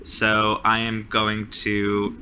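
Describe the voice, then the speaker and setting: A man's voice: lowish voice, completely flat delivery, drawn-out words, background noise. A man improvising narration as a player in a tabletop roleplaying game, in a casual, informal way. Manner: informal, peppered with "like" and "sort of".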